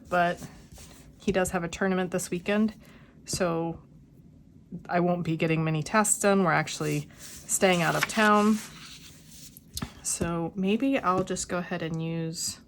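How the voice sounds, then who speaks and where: Slow, gravelly woman with a cold. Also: on the low side, a little hiss or hum. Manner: unhurried, musing aloud while crafting. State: has a cold, slightly hoarse. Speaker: planner vlogger. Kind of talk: casual plan-with-me narration. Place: quiet home.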